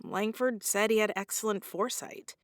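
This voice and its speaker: A woman's voice: medium pitch, fairly even intonation, moderate pace, dry recording, studio quality, close to an everyday voice. An actress playing an earnest, naive woman reporter in an audio drama, hesitant and incredulous in manner. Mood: defensive. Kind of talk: naturalistic audio drama dialogue.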